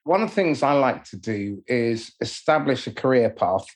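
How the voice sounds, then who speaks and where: Husky-voiced male interviewer. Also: mid-range voice, expressive, moderate pace. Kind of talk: conversational interview, explaining and questioning.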